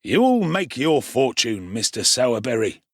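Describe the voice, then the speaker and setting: A man voicing a pompous, self-important parish beadle who speaks slowly and weightily. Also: mid-range voice, sing-song, no room sound, clean studio sound, voice pushed up.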